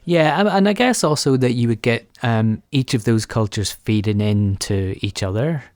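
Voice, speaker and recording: A man's voice: medium pitch, animated, moderate pace, a little mellow, no room sound, studio quality. A man hosting a podcast interview, asking tentative, rambling questions in a conversational way.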